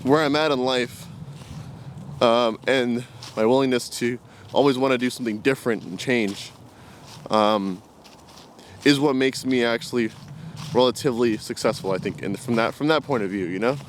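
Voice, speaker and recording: A man's voice: medium pitch, moderate pace, pauses often. Rambling young man vlogging outdoors, background noise. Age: 20s. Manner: rambling, reflective, hesitant. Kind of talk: casual unscripted talk to camera. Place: outdoors, walking in woods. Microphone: camera carried while walking.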